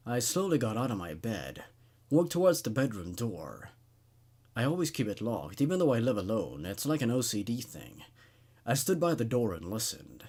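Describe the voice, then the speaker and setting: A man's voice: on the low side, darkish tone, breathy edge. A man hosting a horror story show, reading stories aloud and giving his thoughts on them. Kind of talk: dramatic story narration and laid-back commentary.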